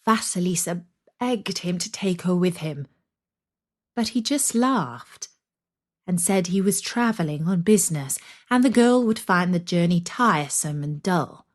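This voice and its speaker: A woman narrating a scripted children's story in a dramatic, deliberate way, with breathy delivery and suspenseful pauses. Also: lowish voice, animated.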